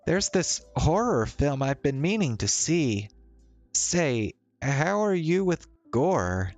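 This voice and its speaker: A man's voice: on the high side, big pitch swings, slow pace, darkish tone, soft background music, voice pushed up, full character voice. A man voicing a friendly, bookish young woman in a visual novel, reading her lines like an eager, teasing storyteller. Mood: inviting.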